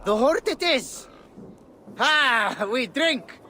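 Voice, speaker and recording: An actor playing a hearty, challenging Viking drinking rival in a video game. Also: male voice, very high-pitched, animated, drawn-out words, sharp tone, slight background noise, full character voice.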